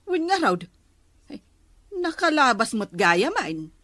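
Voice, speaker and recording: A gossipy village neighbor in a comedy radio drama. Her voice is high and lively in swing, with slow, drawn-out delivery in a roomy echo. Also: heavily acted. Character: gossipy village woman chatting with her female friends.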